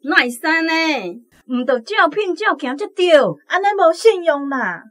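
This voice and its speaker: An actress playing an excitable, outspoken village woman in a radio drama, with a Taiwanese accent. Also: extremely high pitch, measured pace, exaggerated voice. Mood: indignant.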